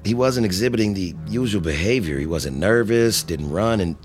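A man's voice: on the low side, animated, moderate pace, lightly acted. An actor playing a male police officer who briefs his partner in a clipped, matter-of-fact way. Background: music.

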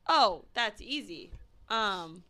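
A young woman with a deep voice for a woman co-hosts a casual podcast chat. She laughs easily and trips over her own restarts as she talks in quick bursts, all at a middling pitch. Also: expressive, pushed and bright. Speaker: podcast co-host.

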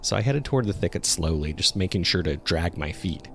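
A man performs a male jogger recounting an eerie experience in a scripted horror story. His voice sits very low, with a heavy creak at the edges. He tells it in a measured, suspenseful way. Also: expressive, fairly bright tone, faint music behind, exaggerated voice. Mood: measured, uneasy. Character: local male jogger recounting a terrifying discovery in the woods. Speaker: narrator. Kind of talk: scripted first-person horror narration. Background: ambient sound effects.

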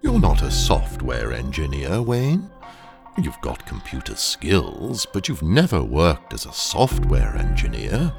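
An actor in an audio drama playing a ruthless training engineer who speaks in a deliberate, condescending, hypnotically coaxing way to a captive. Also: male voice, extremely low pitch, huge pitch swings, creaky, over music, heavily acted. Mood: insistent. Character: ruthless, sinister male training engineer, coolly brainwashing a captive.

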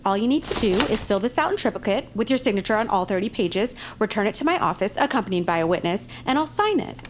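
A brisk town council official played by a woman in a family audio drama. She is matter-of-fact and wry with a sympathetic streak, at an average pitch, over faint background noise. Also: lively intonation, close to an everyday voice. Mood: businesslike.

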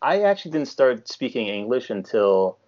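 A man from Canada whose first language is French, an actor chatting casually and haltingly in an interview. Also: medium pitch, very animated, a little mellow, lo-fi sound.

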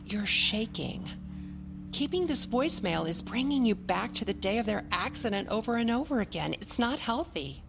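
An actress playing a primly positive, insistent therapist in an audio drama, measured and clinical as she coaxes. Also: on the high side, very animated, gravelly, noisy background. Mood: firm, coaxing. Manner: clinical, coaxing, deliberate. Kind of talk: naturalistic audio drama acting.

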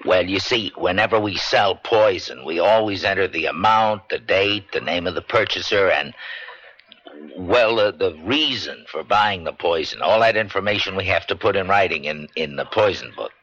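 A man's voice: deep voice, normal speed, a bit bright. A man acting an earnest, nervous drugstore clerk giving testimony in a radio drama, hesitant and deferential. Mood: earnest, careful. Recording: dry, dull vintage radio broadcast.

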